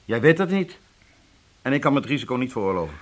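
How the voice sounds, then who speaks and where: An actor playing a gruff but apologetic male boss in a radio drama, with a husky voice. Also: mid-range voice, very animated, fast. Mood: insistent.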